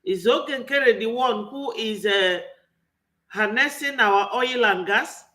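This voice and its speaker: A man's voice: very high voice, takes it slowly, pushed and bright. A man with a Nigerian accent giving an impassioned political commentary, full of rhetorical questions. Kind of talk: online political commentary monologue.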